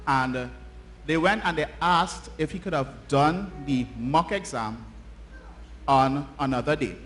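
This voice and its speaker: A man's voice: fairly high pitch, a little slow, a bit bright. A Trinidadian man telling a story informally to a church congregation, conversational and checking in with his listeners using short tag questions. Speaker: church children's story teller. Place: church, speaking to children and congregation. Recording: noisy live church recording, often distant.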